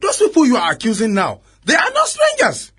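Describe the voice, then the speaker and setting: An actor playing an exasperated, authoritative police officer in a radio drama, with a Nigerian accent and a husky, emphatic delivery. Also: male voice, very high-pitched, huge pitch swings, fairly quick, pushed and bright, full character voice. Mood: insistent. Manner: emphatic, commanding, argumentative.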